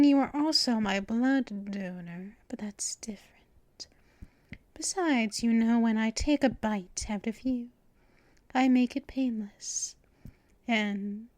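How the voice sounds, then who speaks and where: A woman voicing a possessive, teasing female vampire mistress in an ASMR audio roleplay, half-whispering in a breathy, intimate way. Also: a little high, darkish tone, no echo.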